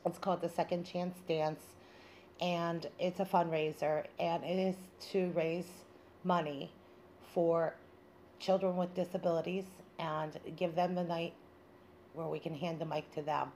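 A woman with a slightly low voice hosts her show solo. She talks informally and loosely, rambling as though improvising. There is faint noise behind her voice. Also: level tone, a little slow, dark tone. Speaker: show host. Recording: noticeable background noise.

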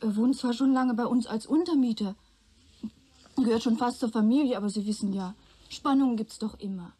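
A woman's voice: on the high side, brisk, warm tone, breathy edge. An actress playing a polite, self-possessed young woman who speaks matter-of-factly in a radio play. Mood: composed, frank. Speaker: actor in a German radio play. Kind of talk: radio drama dialogue.

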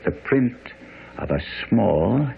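A man's voice: on the low side, sing-song, takes it slowly, creaky, exaggerated voice. A man acting as a self-assured master detective in a radio drama, precise, commanding and didactic. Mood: confident, excited. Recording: muffled, noisy radio broadcast.

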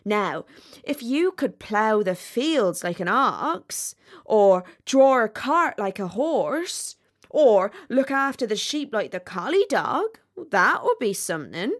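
A woman voicing a peaceable, practical female duck in a storybook, chatty and homely, with emphatic, drawn-out delivery. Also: on the high side, sing-song, full character voice. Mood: placid, practical. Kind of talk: storybook character reading.

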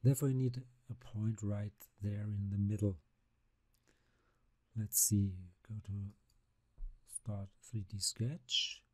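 Slow, low-voiced man. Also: level tone, darkish tone, no echo. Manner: unhurried, step-by-step narration of mouse actions. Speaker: CAD software instructor. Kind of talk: screen-recorded software tutorial.

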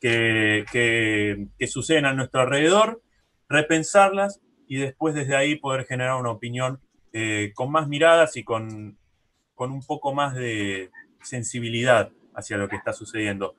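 A man's voice: medium pitch, normal speed, a bit bright. Argentine guy hosting a radio chat, normal mid-range voice, conversational. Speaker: radio host, interviewer.

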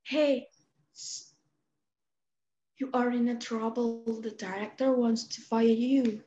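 A young woman playing a patient film producer in an amateur dramatic reading, speaking in a measured, matter-of-fact way. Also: a little high, steady tone, mellow. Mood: patient, firm. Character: patient film producer handling a difficult star. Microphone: video call. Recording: dull, roomy, noisy video-call sound.

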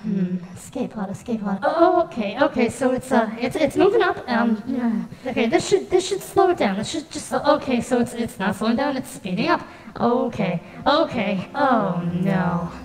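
Bumbling robot guide, female, played by a woman: high, nervous, stammering chatter, echoey amateur mic. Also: lively intonation, moderate pace, natural voice. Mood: panicky. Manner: stammering, rambling, flustered. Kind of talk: amateur fan dub of a game scene.

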